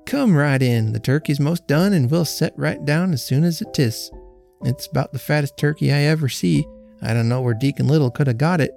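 A man voicing a hearty, practical country woman with a brisk, folksy manner. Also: on the high side, gravelly, a little mellow, soft background music. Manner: brisk, folksy, matter-of-fact. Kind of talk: audiobook character voice.

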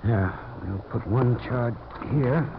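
An actor playing a tough, terse adventurer who gives orders in a 1950s radio drama. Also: male voice, medium pitch, slow pace. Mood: tense, businesslike. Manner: terse, commanding. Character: tough, commanding male adventurer leading a dangerous job. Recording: muffled, noisy old radio broadcast recording.

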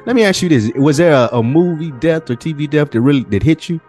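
A man's voice: a little high, expressive, fast talker, a little mellow, faint music behind. A man with an American accent, co-hosting a podcast in casual, slangy conversation. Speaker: podcast co-host.

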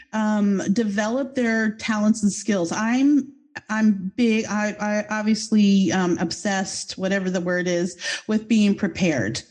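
A woman's voice: mid-range voice, a little mellow. Effusive, goofy woman podcast host. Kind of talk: casual podcast interview.

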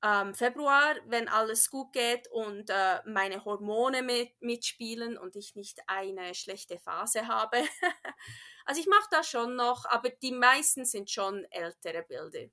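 A woman with a Swiss accent, whose first language is Bernese Swiss German, chatting as a podcast guest. She pauses to search for words and slips into English phrases. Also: on the high side, lively intonation, sharp tone. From Switzerland. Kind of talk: casual interview chat.

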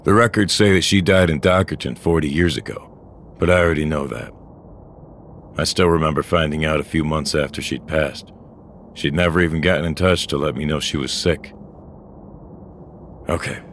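An actor playing a weary, bitter old courier, a gruff and sardonic loner, in a noir science-fiction audio drama. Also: male voice, extremely low pitch, steady tone, moderate pace, long pauses, fairly bright tone, slight background noise. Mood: brooding, regretful. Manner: brooding, world-weary, sardonic. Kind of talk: first-person noir audio drama acting.

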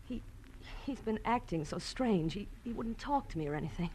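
A woman playing a prim, anxious young wife in a 1940s radio drama, speaking hesitantly and haltingly. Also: mid-range voice, lively intonation, a little mellow, close to an everyday voice. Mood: anxious, defensive. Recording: noisy old radio recording.

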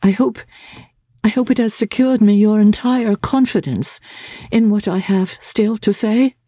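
A woman voicing an anxious, earnest young gentlewoman in a classic-novel audiobook, speaking haltingly and repeating her words. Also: mid-range voice, no echo, clean studio sound, voice pushed up, lightly acted. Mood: pleading, earnest.

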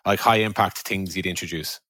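A man's voice: sits low, a little fast, bright, forceful tone, no echo. A man hosting a podcast in a conversational style, talking through scenarios and questions. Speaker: podcast host.

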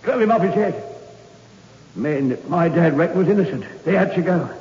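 A man's voice: on the high side, lively intonation, moderate pace, darkish tone, natural voice. An actor playing a pompous, evasive government hangman who is unhinged underneath, in 1960s radio drama style. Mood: anguished, unhinged. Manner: self-important, blustering. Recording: dull old radio recording, echoey hall.

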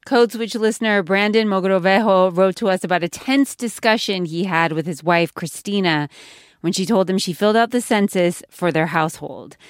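A woman hosting a podcast, narrating in a clear, measured, conversational way. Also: medium pitch, no room sound, studio quality. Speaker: podcast host. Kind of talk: scripted narration and interviewing.

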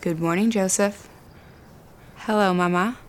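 An actress playing a reserved, dryly precise grown daughter on a family farm in an audio drama. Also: female voice, medium pitch, fairly even intonation, unhurried, natural voice. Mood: polite.

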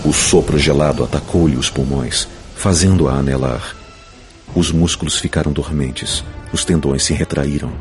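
Very deep-voiced male fantasy narrator. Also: normal speed. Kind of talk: dramatic scripted narration of a fantasy novel. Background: music.

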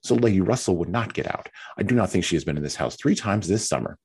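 A man's voice: sits low, expressive, quick pace, a little mellow, husky, voice pushed up, full character voice. A man reading a novel aloud, voicing a fretful, self-pitying young married woman who whines and complains. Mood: complaining. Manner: whiny, complaining.

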